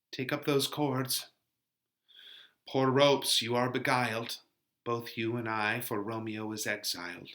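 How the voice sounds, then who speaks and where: A man acting distraught teenage bride, theatrical Shakespeare delivery, drawn-out and emphatic, slow, bright forceful tone. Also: lowish voice, voice pushed down. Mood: desolate, mournful. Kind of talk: dramatic Shakespeare reading.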